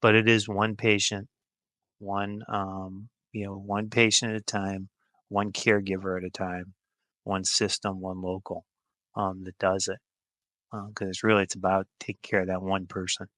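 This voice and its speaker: A man's voice: sits low, little pitch movement, normal speed, fairly bright tone, no room sound. A middle-aged or older American man answering interview questions in an informal, plain-spoken and emphatic way. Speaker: podcast guest, healthcare executive.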